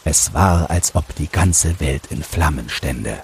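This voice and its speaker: A man's voice: extremely low pitch, lively intonation, takes it slowly, gravelly. A man narrating a scripted fairy tale as a storyteller. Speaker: storyteller and podcast host. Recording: faint background noise.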